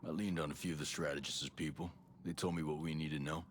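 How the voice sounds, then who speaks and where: An actor playing a gruff, resolute special forces soldier in a video game, blunt and matter-of-fact. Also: male voice, a bass voice, level tone, fast, slight background noise. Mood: determined. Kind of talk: cinematic game acting.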